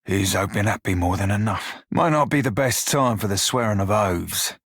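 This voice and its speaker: An actor playing a gruff, foul-mouthed furry pirate shipmate in a video game, with a rough-edged voice and wry, plain-spoken salty sailor's dialect. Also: male voice, on the low side, expressive, moderate pace, no echo, clean studio sound. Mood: grimly loyal. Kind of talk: video game character dialogue.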